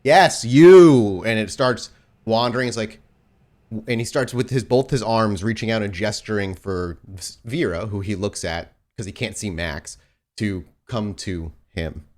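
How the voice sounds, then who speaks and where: A man acting as game master, improvising descriptive, halting narration for a tabletop roleplaying game. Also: fairly low pitch, fairly even intonation.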